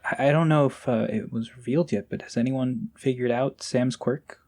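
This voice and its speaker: A man with a medium-pitched voice talks as a podcast guest. His delivery is casual and conversational, like informal chat between colleagues. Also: normal speed, a little mellow.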